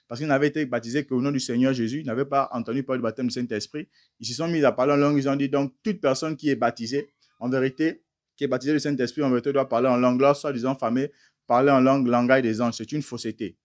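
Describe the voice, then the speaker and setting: Male preacher, average pitch, emphatic teaching sermon with rhetorical questions. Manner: addresses listeners as beloved brothers.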